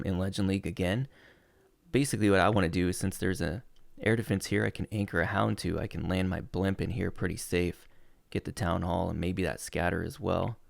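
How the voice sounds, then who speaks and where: A young man walks through his game replays with relaxed play-by-play, his low voice gravelly and frayed as he loses it. The sound is dry and close, with no room around him. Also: no echo. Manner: relaxed play-by-play with frequent uh fillers. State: losing his voice. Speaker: gaming channel host. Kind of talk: commentating over gameplay replays.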